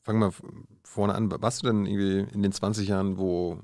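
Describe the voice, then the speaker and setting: Male interview host, very deep, gravelly; asks blunt short questions on a dry studio mic. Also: flat delivery, fairly quick, a little mellow, no echo. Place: small dark studio. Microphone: studio mic on a stand.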